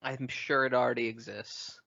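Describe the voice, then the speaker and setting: A man's voice: medium pitch, level tone, moderate pace, gravelly, bright, forceful tone, lo-fi sound. A man co-hosting a casual podcast chat in a jokey, easily amused manner. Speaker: podcast co-host.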